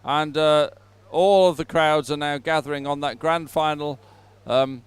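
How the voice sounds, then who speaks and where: A man's voice: on the high side, steady tone, unhurried, clear, resonant tone. A man presenting a sports event with unscripted live commentary from outdoors at the waterside. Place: outdoors, waterside at a racing venue. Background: music playing at the venue.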